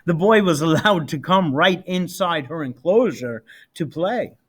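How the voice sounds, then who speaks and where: A man reading a children's picture book aloud expressively, with deliberate, dramatic emphasis on key words. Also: a little high, expressive, breathy edge. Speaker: storybook reader.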